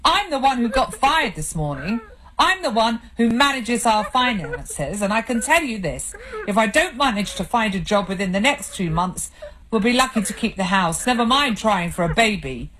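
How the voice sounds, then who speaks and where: A woman's voice: on the high side, expressive, slow, pauses often, fairly bright tone, voice pushed up, rough recording. A woman voicing a distraught, bitter husband. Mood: angry. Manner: bitter, defensive, raised voice in arguments. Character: drunk distraught husband who was just fired.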